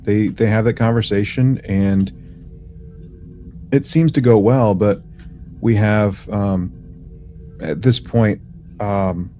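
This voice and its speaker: A man co-hosting a podcast, casually recapping in a halting way, as if thinking aloud. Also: lowish voice, warm tone, muffled recording, faint music behind. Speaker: podcast co-host. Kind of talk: casual chat recapping a sci-fi audio drama.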